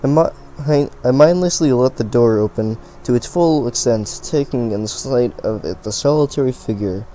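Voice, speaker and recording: A man's voice: medium pitch, moderate pace, hardly any pauses, warm tone, a little hiss or hum, low-quality recording. A man reading a visual novel aloud, often stumbling and restarting words. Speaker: let's play reader.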